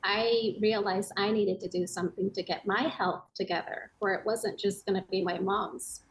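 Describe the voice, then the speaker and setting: A woman raised in Japan with an American accent, telling her personal story as a guest in an interview, earnest and reflective with soft hesitations. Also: medium pitch, steady tone, normal speed, slight background noise, low-quality recording.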